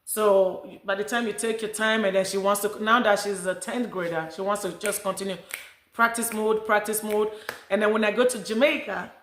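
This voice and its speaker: Middle-aged African-born woman vlogger, chatty and rambling, mid-pitched, recorded in a roomy space. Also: steady tone, normal speed, fairly bright tone, a little hiss or hum. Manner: rambling, chatty, with many restarts. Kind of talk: casual chat to camera. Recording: echoey room.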